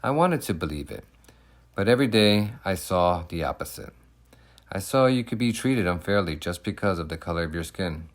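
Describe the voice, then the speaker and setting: A man reading a children's picture book aloud in the first person, with animated, emphatic delivery and deliberate phrasing for young listeners. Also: low-pitched. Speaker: storybook reader.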